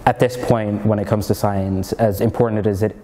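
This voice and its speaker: A young Norwegian-born man with an American accent, his voice low and mellow. He chats casually, rambling with many fillers and restarts. The sound carries a long reverb. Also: level tone, fairly quick. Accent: American-sounding English learned in Egypt. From Norway. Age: young adult. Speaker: comedian and student guest. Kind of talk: casual interview chat.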